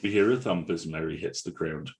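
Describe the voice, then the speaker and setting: A man with a low, husky voice narrates a script. He reads out stage directions for an audio drama. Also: a little fast.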